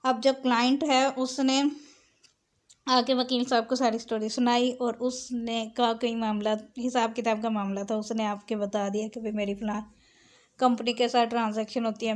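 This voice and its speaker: A woman lecturer explaining step by step, reading out clauses deliberately and switching between Urdu-Hindi and English. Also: on the high side. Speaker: law lecturer.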